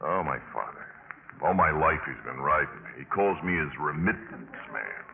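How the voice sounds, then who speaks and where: Flip but desperate college boy, low voice, halting n pleading, muffled hissy 50s radio play. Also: animated, vocal fry, heavily acted. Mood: bitter, pleading. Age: young adult. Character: flip, desperate young male college student failing exams. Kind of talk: 1950s radio drama acting. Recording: muffled, noisy 1950s radio recording.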